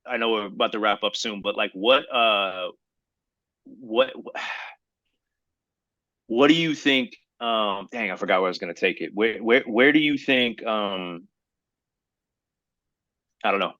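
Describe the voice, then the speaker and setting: A man chatting casually as a podcast guest, excitable and often halting, repeating his words as he goes. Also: on the low side, dry recording. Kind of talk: casual chat.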